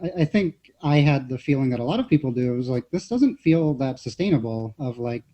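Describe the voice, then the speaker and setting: A man's voice: mid-range voice, lively intonation, brisk, warm tone. A man speaking as a panelist in casual discussion, analytical and often restarting his sentences, on slightly dull audio.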